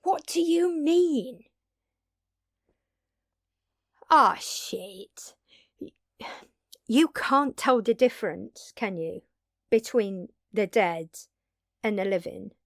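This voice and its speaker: A woman playing an earnest, blunt, long-lived vampire in an intimate audio drama, speaking haltingly and pleadingly with dramatic pauses. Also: on the high side, animated, no echo, clean studio sound. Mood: exasperated, insistent. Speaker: voice actor.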